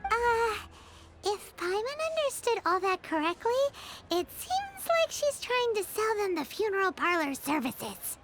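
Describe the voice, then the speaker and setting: A woman voicing a tiny, childlike female fairy companion in a video game, squeaky, frank and a little whiny. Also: sing-song, unhurried, over music, full character voice. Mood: puzzled, uneasy. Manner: squeaky, childish, speaks of herself in third person. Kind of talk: English video game voice acting.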